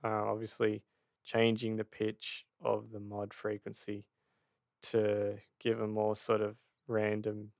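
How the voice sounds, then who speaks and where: A man giving an informal software tutorial, hesitant and thinking aloud as he explains. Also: fairly low pitch, completely flat delivery, takes it slowly, gravelly, no room sound. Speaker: tutorial host.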